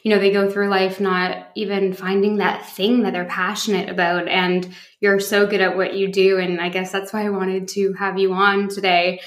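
A woman's voice: medium pitch, little pitch movement, normal speed, hardly any pauses. Upbeat woman podcast host, roomy sound. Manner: upbeat, encouraging. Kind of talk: podcast interview.

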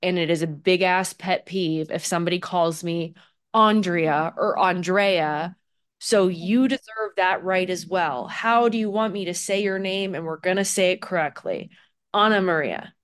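A woman's voice: lowish voice, measured pace, smooth. A woman hosting a podcast interview, chatting casually, brash and joking, swearing freely. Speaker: podcast host. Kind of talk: casual profane interview chat.

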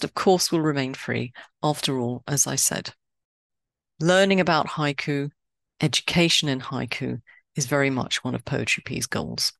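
Woman, podcast host, scripted talk, animated pitch, gravelly, dry sound. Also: no echo. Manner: measured, explanatory, lively pitch on key words.

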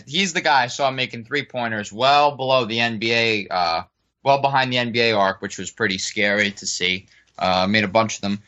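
Guy rambling about his draft pick, bright voice, kinda muffled lo-fi audio. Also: mid-range voice. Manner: rambling, loose and profane. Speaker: podcast panelist. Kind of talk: casual sports draft chat. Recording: dull lo-fi recording.

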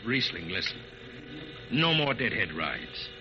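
A man's voice: fairly low pitch, sing-song, measured pace. Spaceship crewman with swooping intonation. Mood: uneasy. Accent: American. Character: friendly, weary male spaceship crewman, reluctant enforcer. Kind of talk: 1950s radio drama acting. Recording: muffled, hissy 1950 radio recording.

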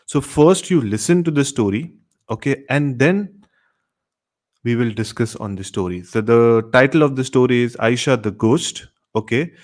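A man's voice: medium pitch, expressive, normal speed, darkish tone. A man hosting a live horror storytelling show, speaking straight to his listeners and often repeating himself. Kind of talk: live stream presenting to viewers.

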